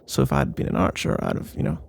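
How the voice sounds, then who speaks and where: A man's voice: a bass voice, very animated, quick pace, creaky. A man doing a casual, rambling ASMR boyfriend roleplay in a playful, mock-boastful and meandering way.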